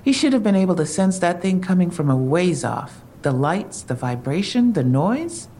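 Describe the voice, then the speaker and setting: An actress playing a wry, probing police detective in an audio drama, matter-of-fact and questioning. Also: female voice, lowish voice, sing-song, moderate pace, mellow, a little hiss or hum.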